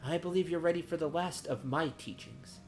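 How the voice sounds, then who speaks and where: A man voicing a calm, wise guildmaster and mentor of nature magic in a fantasy game, formal and measured. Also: a little high, soft background music, voice pushed up, close to an everyday voice. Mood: solemn, reassuring. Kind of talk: reading fantasy game dialogue aloud.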